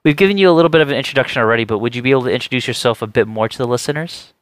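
Fast-talking young male student host. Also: medium pitch. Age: young adult. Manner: formal, polite. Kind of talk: scripted introduction and interview questions.

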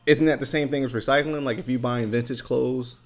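Gravelly podcast host, rambling. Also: male voice, mid-range voice, brisk, faint music behind. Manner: relaxed, rambling, filler-heavy. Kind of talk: casual podcast chat. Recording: dull lo-fi sound.

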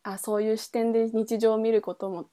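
A woman's voice: fairly high pitch, little pitch movement, brisk, mellow. A woman podcast host in a casual book chat, thinking aloud in trailing, loosely linked phrases. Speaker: podcast host reviewing a novel.

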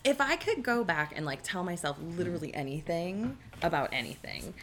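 A woman's voice: lowish voice, very animated, brisk, a bit bright, slight background noise. A woman, around forty, chatting casually with a frank, reassuring manner. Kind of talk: casual podcast conversation.

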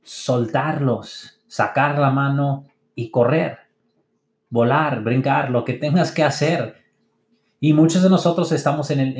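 A man hosting a motivational podcast, speaking earnestly and encouragingly, often pausing on fillers and restarting words. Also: medium pitch. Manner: halting, frequent "eh" fillers and restarts. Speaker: podcast host. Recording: echoey room.

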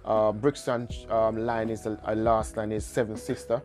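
Jamaican man telling stories, relaxed. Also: fairly low pitch, moderate pace, soft background music. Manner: relaxed storytelling, repeats phrases. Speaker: guest. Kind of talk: casual chat.

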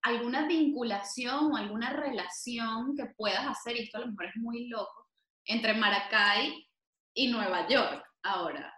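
Bright-voiced woman host, echoey room. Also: on the high side, normal speed. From Venezuela. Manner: lively, familiar, asking with many fillers. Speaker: interviewer, host. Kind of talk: conversational interview.

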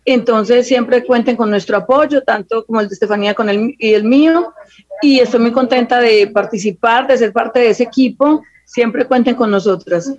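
A woman with a Colombian accent from Antioquia, a guest designer answering in an interview in a halting, word-repeating way. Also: fairly high pitch, a little mellow, no room sound. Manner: halting, repeats words while searching. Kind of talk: unscripted interview talk. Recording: dull, muffled lo-fi line.

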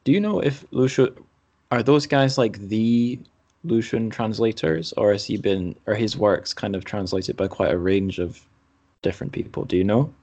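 Male podcast host, lowish voice, casual and self-deprecating interviewer. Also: normal speed, a little mellow.

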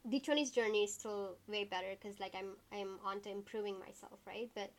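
A woman in her early twenties chatting casually as a podcast co-host, rambling with lots of fillers and quick asides. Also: medium pitch, steady tone. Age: 20s. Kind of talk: casual chat.

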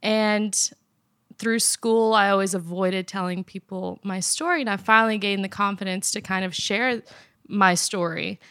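Smooth-voiced young woman telling her story. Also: mid-range voice, normal speed, dry recording, clean studio sound. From Afghanistan. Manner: earnest, steady, soft laughs. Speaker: interview guest. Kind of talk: personal storytelling in an interview. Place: apartment in Nashville.

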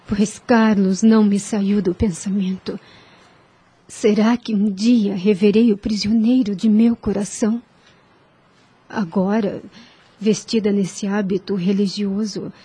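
An actress playing a devout, gentle young woman about to become a nun in a radio drama, speaking softly and earnestly. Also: medium pitch, slightly rough. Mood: wistful.

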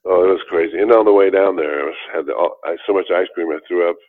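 Deep-voiced older man telling his story. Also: quick pace. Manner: rambling, with many restarts and fillers. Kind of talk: casual storytelling interview. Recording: tinny phone-like line.

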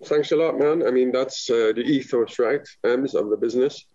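A man's voice: mid-range voice, moderate pace, dark tone, no echo, highs cut off. A man from Lebanon, a guest talking with enthusiasm in a casual podcast chat, rambling with many fillers. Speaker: guest, business founder.

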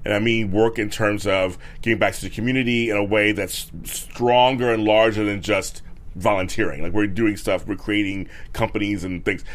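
Brief Middle-aged male host, deeper voice, chatty and affirming, live TV interview. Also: steady tone, normal speed.

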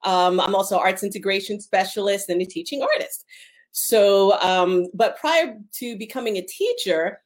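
A woman from Long Island, New York, a theater teacher, talking as a guest in a chatty way, laughing easily and saying um often. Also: medium pitch. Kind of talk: casual interview talk.

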